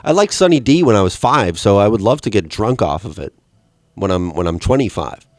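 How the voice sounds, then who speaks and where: A man in his twenties hosting a podcast, ranting in a rambling, profane and deadpan way. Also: lowish voice, fairly quick, no room sound. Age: 20s. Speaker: podcast host. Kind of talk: solo comedic rant.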